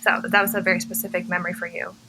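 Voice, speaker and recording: A woman's voice: on the low side, level tone, rapid-fire, sharp tone, soft background music. A woman co-hosting a faith podcast, asking a guest questions in an encouraging, affirming way. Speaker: podcast co-host. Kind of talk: casual interview chat.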